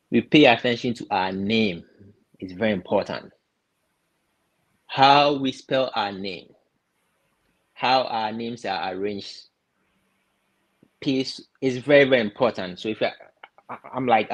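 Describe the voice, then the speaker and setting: A man from Ghana giving casual step-by-step advice, often pausing and repeating words as he thinks. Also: fairly low pitch. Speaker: guest explaining visa steps.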